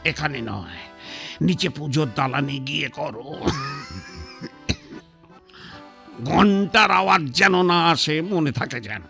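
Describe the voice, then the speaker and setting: A man's voice: fairly high pitch, expressive, a little slow, creaky, bright, forceful tone, faint music behind, exaggerated voice. An actor playing a blunt, stubborn old man on his deathbed who mocks his doctor and priest in a sarcastic, commanding way. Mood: scornful. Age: older. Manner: sarcastic, commanding, brushes others off. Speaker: bedridden patriarch. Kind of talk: radio drama acting.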